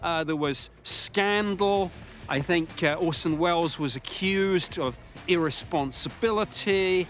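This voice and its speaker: A man's voice: fairly high pitch, slow pace, fairly bright tone, soft background music. A man giving emphatic, dramatic commentary as a storyteller in a documentary interview.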